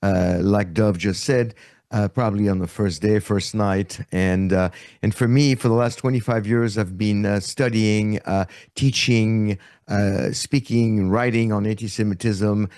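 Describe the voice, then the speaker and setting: Older French-born man, low voice, halting speech. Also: fairly even intonation, darkish tone, no echo, clean studio sound. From France. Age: 60s. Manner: halting, with many fillers. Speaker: guest, author and educator. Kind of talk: interview conversation.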